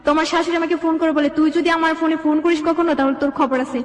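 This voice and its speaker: A woman's voice: very high voice, fairly even intonation, rapid-fire. A young Bangladeshi woman telling her own life story as an interview guest, in rambling run-on sentences. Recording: echoey room with long reverb.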